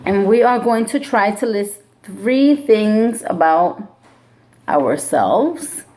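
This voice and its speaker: Woman teacher, storybook read-aloud, slow and deliberate, lots of rise and fall in her pitch. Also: animated, a little mellow. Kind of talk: reading a picture book aloud to students; classroom instructions.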